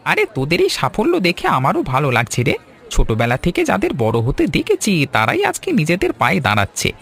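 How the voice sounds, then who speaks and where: A man voicing a kindly older village shopkeeper, avuncular and encouraging, in an animated story. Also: on the high side, fairly quick, creaky, slightly rough, heavily acted. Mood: proud, encouraging.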